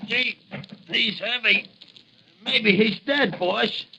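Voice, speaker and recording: Heavy hiss and a dull old radio sound surround a slow-witted gangster henchman. His high, creaky voice drags along deferentially in 1940s serial style. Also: exaggerated voice. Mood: straining, puzzled. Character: dim male gangster henchman, deferential to boss. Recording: muffled, noisy old radio recording.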